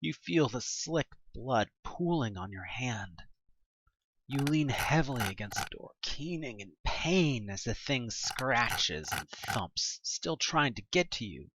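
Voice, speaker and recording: Expressive, bright-voiced narrator with swinging pitch. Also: slow pace, slight background noise, rough recording. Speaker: audio play narrator. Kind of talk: dramatic scripted narration of an interactive horror story in second person.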